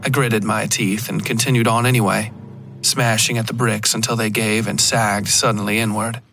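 A man narrating a scripted horror fiction podcast in a measured storytelling style. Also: fairly low pitch, level tone, creaky, sharp tone, faint music behind.

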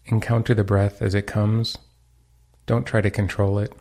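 A man leading a guided meditation, giving calm, gentle instructions with pauses. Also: fairly low pitch.

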